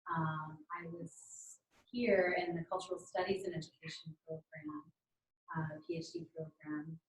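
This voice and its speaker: A woman's voice: fairly low pitch, unhurried. A woman in her forties from Ohio, a university program director, introducing herself in a composed, articulate way. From Ohio, United States. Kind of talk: panel self-introduction. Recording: distant mic in echoey room.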